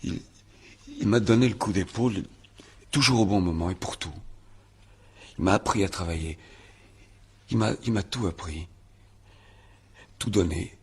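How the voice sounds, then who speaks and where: An actor playing a stubborn, guarded country man under questioning in a French radio crime drama, blunt, defensive and halting. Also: sits low, darkish tone, husky, exaggerated voice. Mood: earnest. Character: stubborn, guarded young male country murder suspect.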